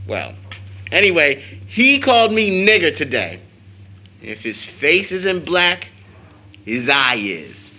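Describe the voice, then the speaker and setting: An actor playing a proud, teasing teenage son in an audio drama of an early 1900s play, with an American accent and a theatrical, boyish manner. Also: male voice, a little high, huge pitch swings, takes it slowly, pauses often, bright, forceful tone, exaggerated voice. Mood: defiant. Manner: theatrical, jokingly pompous with slangy boyish talk.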